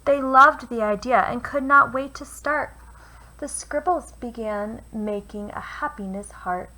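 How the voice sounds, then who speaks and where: A woman reading a children's picture book aloud with expressive, deliberate phrasing, as a teacher would for young listeners. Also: on the high side. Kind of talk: children's storybook read-aloud. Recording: noisy home recording.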